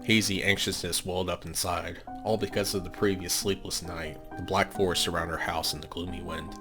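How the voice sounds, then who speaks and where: Guy with a low voice doing a casual lets play, reading the story out, loud music behind him. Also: level tone, brisk. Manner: reads on-screen text aloud in a storytelling way. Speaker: game playthrough host. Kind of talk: casual gaming commentary and read-aloud narration. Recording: music under the voice. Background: game music.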